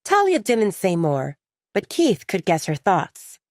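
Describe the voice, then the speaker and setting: A woman's voice: medium pitch, huge pitch swings, slow pace, dry recording, clean studio sound. A woman reading scripted romance fiction as a dramatic audiobook narrator.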